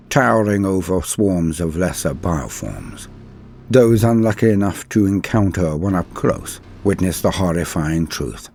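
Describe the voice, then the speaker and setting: Deep husky guy doing dramatic lore narration, very swoopy and formal, music behind. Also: dark tone. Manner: dramatic, ornate, formal address. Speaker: host and narrator of a guide channel. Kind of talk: theatrical scripted lore narration.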